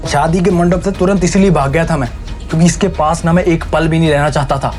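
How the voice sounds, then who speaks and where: A man's voice: a little high, steady tone, quick pace, darkish tone, close to an everyday voice. A man playing an arrogant young husband in an audio drama, speaking with taunting contempt over music. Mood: bitter scorn. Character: arrogant, scornful young husband rejecting his bride. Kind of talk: Hindi audio drama acting.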